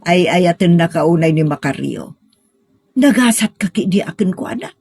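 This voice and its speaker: A woman's voice: on the low side, animated, normal speed, vocal fry, darkish tone, breathy edge, exaggerated voice. A woman playing a middle-aged, money-minded mother in an audio drama, coaxing and insisting with her grown daughter. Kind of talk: sentimental audio drama acting.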